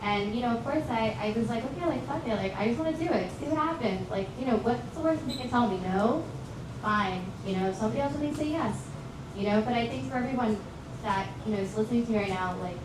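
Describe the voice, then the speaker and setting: A woman's voice: on the high side, fast talker. Woman guest speaker, earnest, encouraging, distant mic, noisy echo. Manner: earnest, encouraging, many fillers. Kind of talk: motivational talk. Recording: echoey, distant, noisy livestream audio.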